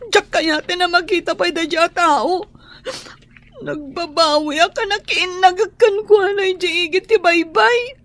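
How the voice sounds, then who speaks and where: A woman playing a heartbroken young maid, crying and speaking slowly through tears. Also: extremely high pitch, a bit bright, heavily acted. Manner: slow, tearful. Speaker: Angie.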